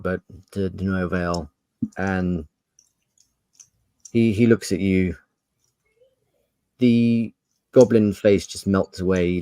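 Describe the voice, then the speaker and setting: A man's voice: low-pitched, dark tone, no echo. A man narrating an improvised tabletop game as game master, conversational and descriptive.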